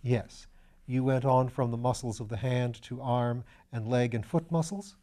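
A man reading a storybook as a calm, courteous gentleman detective, asking patient, gently coaxing questions in a measured way. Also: medium pitch, natural voice.

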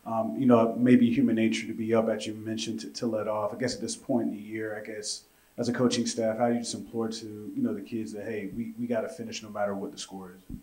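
Man, low mellow voice, reporter, hesitant winding question. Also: flat delivery, fast, a little hiss or hum, low-quality recording.

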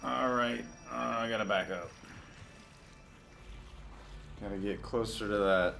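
Man, gravelly, lowish voice, muttered wry gameplay commentary, headset mic, clear game music underneath. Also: moderate pace. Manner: muttering, wry, self-deprecating. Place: home room with VR headset. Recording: game music loud behind voice.

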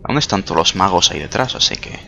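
A young man giving casual, jokey gameplay commentary, excitable and quick to swear. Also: low voice. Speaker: gamer. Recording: clear game music behind.

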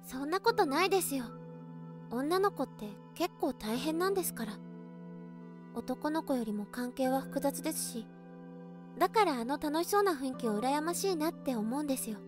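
A woman playing a polite, earnest teenage high school girl in an amateur audio drama, speaking in courteous, formal phrasing. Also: expressive, moderate pace, music in the background. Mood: wistful, earnest.

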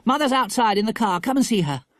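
A woman voicing a lively, outspoken schoolgirl in a storybook, with an emphatic girlish manner. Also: a little high, quick pace, no echo, clean studio sound, pitched above the performer's own voice, lightly acted. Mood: brisk.

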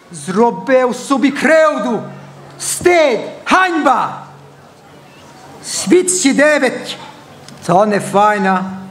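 A man's voice: extremely high pitch, a bit bright, heavily acted. A man acting a pompous, melodramatic dictator in a satirical stage monologue in verse.

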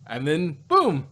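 A man in a casual review chat, talking in a halting, rambling way as a panelist. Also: a little high, bright, forceful tone. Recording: clear background noise.

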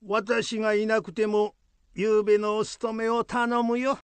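A man playing a kindly elderly temple priest in a folk tale radio drama, musing in slow, old-fashioned speech. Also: high-pitched, flat delivery, clean tone, dry recording. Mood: gentle, fond.

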